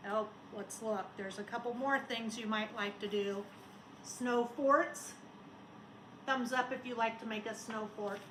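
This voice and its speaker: A woman, a schoolteacher, talking and reading aloud to young children in simple, expressive phrases. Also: a little high, measured pace. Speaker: elementary school teacher. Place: indoors at home. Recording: roomy home recording, faint noise.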